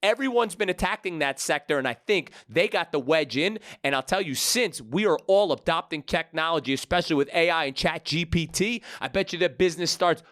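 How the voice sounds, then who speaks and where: A man's voice: fairly high pitch, animated, brisk, few pauses, fairly bright tone. A man hosting a podcast in a brash, slangy, hyped-up way, from Brooklyn. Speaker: podcast host. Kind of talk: casual podcast chat.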